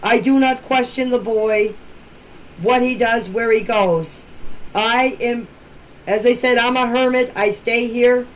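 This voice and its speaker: A woman's voice: fairly high pitch, fairly even intonation, slow pace. Older lady just talking into her phone, plain spoken, repeats herself, bit of background noise. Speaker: relative speaking about a missing-children case. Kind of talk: informal personal voice-message talk. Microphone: phone voice message. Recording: noisy amateur voice-message recording.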